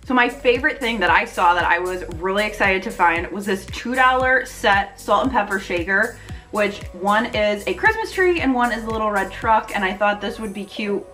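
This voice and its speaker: A woman's voice: mid-range voice, moderate pace, pushed and bright, faint music behind. A woman in her thirties chatting casually and enthusiastically, gushing her way through a shopping haul as a vlogger. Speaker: home decor vlogger.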